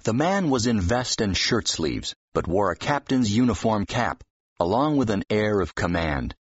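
Deep, husky male audiobook narrator. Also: lively intonation, moderate pace, no room sound. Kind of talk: expressive scripted fiction narration. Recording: dull, slightly muffled audio.

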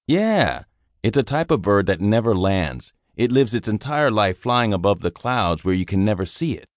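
A man voicing a gruff, foul-mouthed veteran army sergeant who drawls in slang. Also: lowish voice, sing-song, moderate pace, no room sound, clean studio sound, pitched above the performer's own voice. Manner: crude, swearing, drawling slang.

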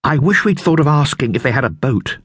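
A man's voice: mid-range voice, lively intonation, a little fast, fairly bright tone, husky, dry recording, clean studio sound, pitched above the performer's own voice. A man voicing a curious, practical young boy in a storybook, eager and observant.

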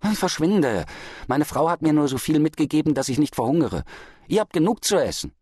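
A stubborn storybook peasant, played by a male reader, surly and proud. His gruff, dismissive words move over a very wide pitch range, recorded dry. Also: sing-song, brisk, darkish tone, no echo.

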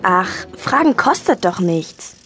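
A woman's voice: medium pitch, big pitch swings, slow pace, fairly bright tone. A woman playing an encouraging, upbeat young schoolgirl in a children's audio drama.

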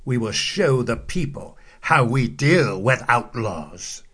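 A man voicing a blustering, scheming sheriff in a children's storybook, slow and husky. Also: medium pitch, very animated, heavily acted. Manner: loud, commanding, plotting.

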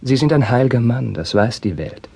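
An actor playing a young, bitter and passionate Spanish crown prince in a classical stage drama, with declamatory, intense delivery. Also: male voice, medium pitch, lively intonation, moderate pace, vocal fry, warm tone, slightly rough. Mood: bitter defiance. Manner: declamatory, emotionally intense, formal verse delivery.

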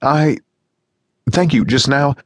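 A man voicing a guarded gentleman who keeps a forced, amused politeness in an audiobook. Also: medium pitch, slow pace, dry recording, studio quality, voice pushed up. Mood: forced cheer. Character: guarded polite Englishman, forcing cheer. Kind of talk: audiobook reading.

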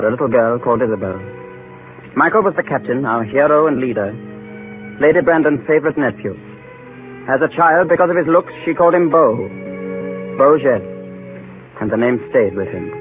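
A man's voice: medium pitch, lightly acted. Actor as grave Englishman narrating, tinny radio. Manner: measured, solemn storytelling. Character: grave adult Englishman, sole surviving brother, recalling his childhood. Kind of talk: scripted first-person narration, 1930s radio drama. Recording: tinny 1930s radio broadcast.

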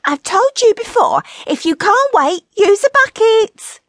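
A woman's voice: extremely high pitch, very animated, a little slow, no echo, clean studio sound, pitched above the performer's own voice, exaggerated voice. A woman voicing a vain, sarcastic older wife who bickers and scolds theatrically in an audiobook story. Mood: scolding, sarcastic. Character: shrill, sharp-tongued, vain older wife in a bickering marriage.

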